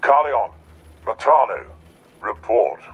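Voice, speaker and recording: An actor playing a stern commander giving curt, urgent orders over a radio link, voice slightly husky. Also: male voice, mid-range voice, lively intonation, slow, phone-quality sound, full character voice.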